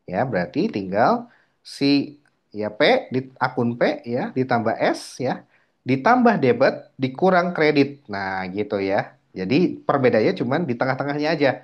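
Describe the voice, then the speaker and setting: Man teaching with a lively, swinging pitch. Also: measured pace, dull audio. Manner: didactic and repetitive, frequent checking tags and self-posed questions. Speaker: accounting lecturer. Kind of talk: informal tutorial explanation of a worked exercise.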